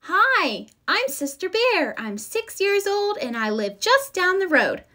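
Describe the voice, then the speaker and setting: A woman's voice: very high-pitched, takes it slowly, pitched above the performer's own voice, full character voice. A woman voicing a feisty six-year-old girl bear cub who speaks emphatically in a children's storybook. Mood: friendly. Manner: excitable, protesting, emphatic. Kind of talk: children's storybook read-aloud.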